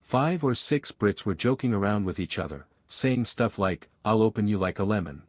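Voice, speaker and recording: Low-voiced man reading an online story. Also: expressive, moderate pace, dry recording, clean studio sound. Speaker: narrator. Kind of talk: reading aloud a first-person online story.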